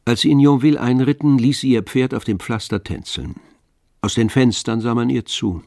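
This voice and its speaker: A man narrating a literary radio play in measured, scripted storytelling. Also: mid-range voice, creaky, a little mellow, dry recording.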